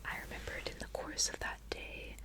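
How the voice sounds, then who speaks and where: A woman reading aloud in a soft, intimate, breathy whisper, close to the microphone in an ASMR style. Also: moderate pace. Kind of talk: whispered ASMR audiobook reading with casual asides.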